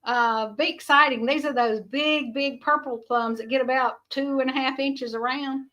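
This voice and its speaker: A middle-aged woman with a high-pitched voice, rambling chattily to viewers about her homestead, often laughing. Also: steady tone, moderate pace, pushed and bright. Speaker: homestead vlogger. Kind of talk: casual chat to viewers.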